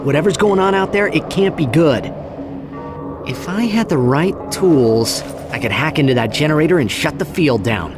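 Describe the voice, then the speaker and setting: A man's voice: fairly high pitch, expressive, normal speed, music in the background, close to an everyday voice. A man voicing an eager, wry young male hero in a video game cutscene. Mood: determined.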